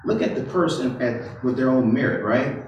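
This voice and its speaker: A man's voice: medium pitch, moderate pace, highs cut off, a little hiss or hum. A man giving an interactive sermon who asks many rhetorical questions and keeps checking in with "right?". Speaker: pastor or Bible teacher. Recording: roomy echo, far from the mic.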